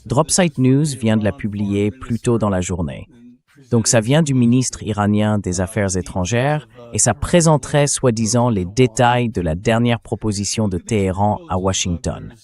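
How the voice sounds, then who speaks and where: A man's voice: fairly low pitch, darkish tone. An AI-generated male voice reading a translated voice-over for the host of a political interview.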